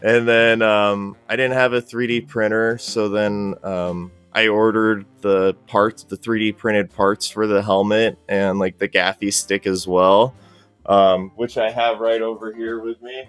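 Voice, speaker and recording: A man speaks in a low, smooth voice with very little room sound, over faint music. He talks in an easygoing, rambling way, like a guest on a casual podcast. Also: flat delivery, unhurried, fairly bright tone. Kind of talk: casual interview chat.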